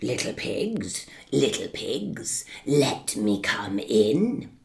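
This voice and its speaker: A woman voicing a hungry, falsely polite male wolf in a children's story, with a low, slow, growly drawl. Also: big pitch swings, vocal fry, a little mellow, exaggerated voice. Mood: menacing.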